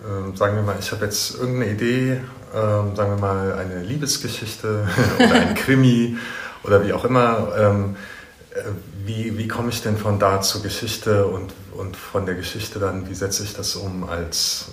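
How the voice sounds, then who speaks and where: A man's voice: fairly low pitch, steady tone, moderate pace. A man hosting a casual interview, informal and tentative as he searches for his words. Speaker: interviewer and host. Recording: roomy echo, audible background noise.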